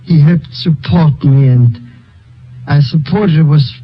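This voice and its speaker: An actor playing Hans, a proud, ashamed pianist, speaking haltingly in a 1950s radio drama. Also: male voice, on the high side, husky. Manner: hesitant, halting, slow. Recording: dull old radio broadcast.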